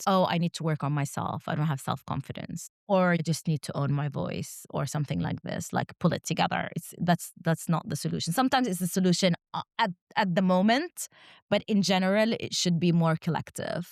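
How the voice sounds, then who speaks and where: A woman whose first language is Arabic, speaking as an academic guest in a reflective interview, measured and often ending points with a questioning "right?". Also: lowish voice, no echo, studio quality. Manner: measured, rhetorical "right?" tags.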